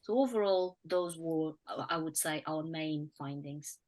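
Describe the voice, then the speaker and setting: Woman researcher, croaky, lowish voice, measured webinar talk with fillers. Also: a little mellow. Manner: measured, with fillers and throat clearing. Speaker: project researcher. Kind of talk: webinar presentation.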